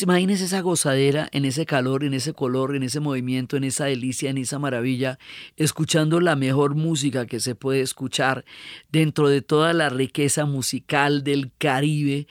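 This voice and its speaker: A man's voice: on the high side, no echo, studio quality. A man, explaining carnival traditions enthusiastically and repeating words for emphasis. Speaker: cultural commentator.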